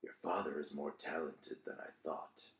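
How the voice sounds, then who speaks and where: A man voicing a secretive, scarred, hooded stranger in a fantasy audiobook, in a measured, understated way. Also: extremely low pitch, fairly even intonation, a bit bright, pitched below the performer's own voice, exaggerated voice. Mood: appraising. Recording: roomy, echoing room sound.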